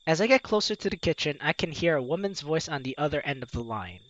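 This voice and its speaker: A man's voice: a little high, lively intonation, fast talker, a bit bright, no echo, lo-fi sound. A man hosting a game playthrough, reading narration and dialogue aloud expressively, with casual asides. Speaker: host of a video game playthrough.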